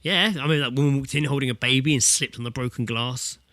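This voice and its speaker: A man's voice: mid-range voice, quick pace, no echo. A British man co-hosting a casual podcast, telling sweary anecdotes and acting out quotes as he goes. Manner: sweary, animated storytelling with acted-out quotes. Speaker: podcast co-host. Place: remote online call from a dry-sounding room.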